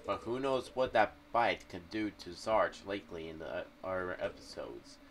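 A young man giving casual vlog commentary in a rambling, halting way, often repeating himself. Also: low-pitched, animated. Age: young adult. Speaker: YouTube vlogger. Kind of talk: casual commentary on a horror audio series. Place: small home room. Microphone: condenser mic with pop filter on boom arm. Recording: distant-sounding mic with faint background noise.

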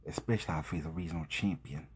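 A man giving informal video commentary as host, husky and breathy, emphatic and halting, full of rhetorical questions. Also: a bass voice, slight background noise.

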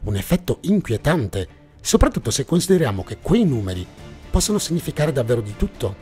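A man's voice: medium pitch, very animated, fairly quick, darkish tone, slightly rough. A man narrating a scripted mystery documentary in a suspenseful, dramatic storytelling manner. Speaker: narrator and host of a mystery series. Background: background music.

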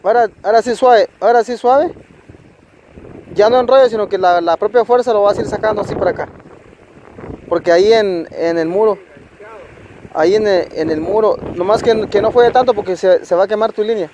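A man's voice: sits high, dull audio. A man narrating a fishing trip to his viewers from a rocky lakeshore, cheering on and coaching his friend as he reels in fish. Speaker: fishing vlogger. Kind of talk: casual outdoor narration to viewers. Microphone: handheld phone camera. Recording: wind and water noise on phone mic. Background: wind and rushing water.

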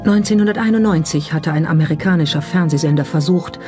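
A documentary narrator delivers scripted lines at a medium pitch, with pointed skepticism and a rhetorical edge. Music plays clearly behind the voice. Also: fast. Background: background music.

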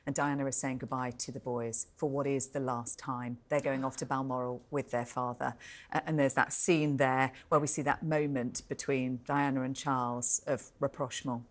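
A British woman in her forties giving measured, articulate commentary as a royal editor in a television studio, stressing key words. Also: rumbling low, steady tone. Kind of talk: live broadcast review and commentary.

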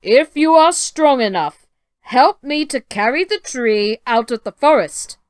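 A huge, lumbering male giant who booms out every word slowly and heavily, as a woman puts him on for a storybook. The voice swoops widely in pitch over a dry, close sound. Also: expressive, clean tone, no echo. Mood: booming. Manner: slow, loud, drawn-out delivery. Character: huge gruff male giant, slow and booming.

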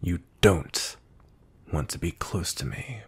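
A man playing a cold, possessive, dominant vampire knight in an intimate ASMR roleplay, with a slow, breathy, menacing murmur. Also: low-pitched, heavily acted. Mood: cold, stern. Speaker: audio roleplay voice actor.

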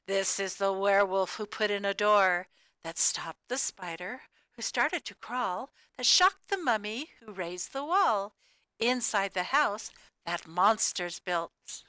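Bright, clear woman reading a children's storybook. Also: mid-range voice, takes it slowly, no room sound. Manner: expressive, sing-song cumulative rhyme delivery with emphatic key words. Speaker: storybook reader. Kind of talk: animated children's read-aloud of a rhyming picture book.

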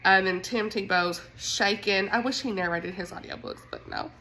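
A woman's voice: mid-range voice, normal speed, bright, forceful tone, a little hiss or hum, poor audio. A woman with a Southern US accent and a congested voice, chatting informally and expressively as a book vlogger. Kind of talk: casual chatty vlog. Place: at home.

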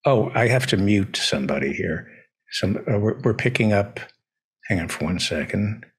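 A man in his sixties with an American accent, hosting an interview in deliberate, broken phrases into a close podcast microphone. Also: on the low side, expressive, husky. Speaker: host, interviewer. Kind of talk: conversational interviewing. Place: home living room, indoors. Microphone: podcast mic on boom arm, close.

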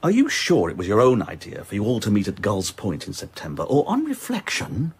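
A shrewd police inspector in a radio drama. The voice is very raspy and swoops widely in pitch. He presses his points in a knowing, insistent way. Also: wildly swooping pitch, brisk, a little mellow, exaggerated voice. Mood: probing, confident. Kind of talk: radio play acting.

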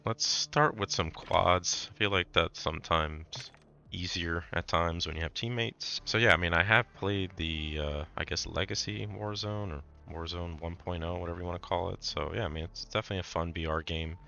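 A man hosting casual gameplay commentary, raspy and hoarse from a cold, laid-back with startled outbursts. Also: deep voice, fairly even intonation, moderate pace, sharp tone, highs cut off. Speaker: gaming channel host.

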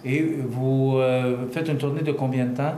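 A man's voice: medium pitch, fairly even intonation, moderate pace, warm tone, a little hiss or hum. An older man hosting a TV studio interview on a lapel mic, asking plain questions with short acknowledgments. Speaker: TV interviewer host. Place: TV studio set. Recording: roomy studio sound.

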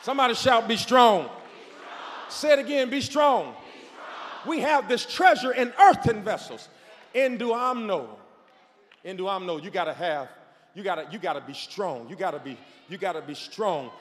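A man in his forties, a pastor, preaching a sermon on a large church stage with a handheld microphone, shouting and calling on the crowd to respond. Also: sits high, lively intonation, normal speed. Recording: long hall reverb.

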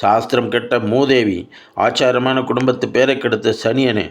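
A man's voice: mid-range voice, steady tone, moderate pace, lightly acted. A man voicing an angry, orthodox middle-aged son in a story, scolding harshly in exasperation. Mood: furious.

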